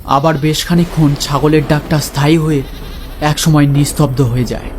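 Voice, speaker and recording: High-pitched male ghost-story narrator. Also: fairly even intonation, a little fast, a little mellow, slight background noise.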